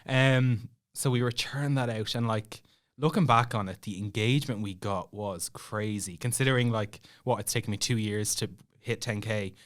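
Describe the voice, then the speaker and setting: A man's voice: lowish voice, steady tone, normal speed, few pauses. Chatty young Irish man telling stories. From Ireland. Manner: self-deprecating, chatty. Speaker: podcast guest. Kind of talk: casual conversational storytelling.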